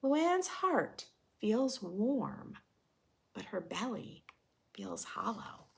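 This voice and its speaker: A woman reads a picture book to young children like a preschool teacher. Her pitch swings widely and expressively, and she takes her time over each phrase. There is noticeable creak in her voice, and she often turns to the listener with playful questions. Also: big pitch swings, very slow. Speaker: children's read-aloud teacher. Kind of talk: expressive storybook read-aloud with teaching asides.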